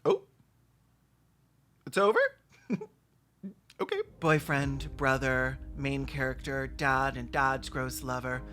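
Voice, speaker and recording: Give a snarky online host with a mid-range voice. The delivery is sarcastic and dry, with crude comic asides, as commentary on a video. Soft music plays behind. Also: medium pitch, slow, long pauses. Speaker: host of a reaction video show.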